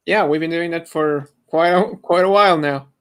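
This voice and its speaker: A man's voice: fairly high pitch, normal speed. A young man talking casually with friends as a member of a podcast. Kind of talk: casual group chat.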